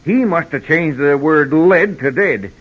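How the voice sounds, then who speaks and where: An actor playing a shifty, blustering villain in 1950s western radio drama style, with a husky voice. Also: male voice, a little high, animated, measured pace. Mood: blustering, evasive. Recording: dull old radio recording.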